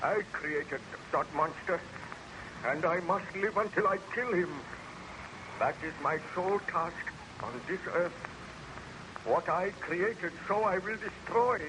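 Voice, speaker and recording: Obsessed, weary nobleman: higher-pitched, solemn, theatrical vintage radio drama, clearly noisy recording. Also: slow. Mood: grim, weary. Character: exhausted, haunted baron obsessed with destroying his monster. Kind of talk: 1930s-40s radio serial acting.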